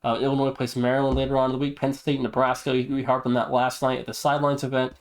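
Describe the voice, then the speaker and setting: Guy hosting a sports podcast, normal pitch, casual but punches his points, reuses catchphrases. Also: little pitch movement, fast talker. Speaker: podcast host and league presenter. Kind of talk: casual esports news and commentary.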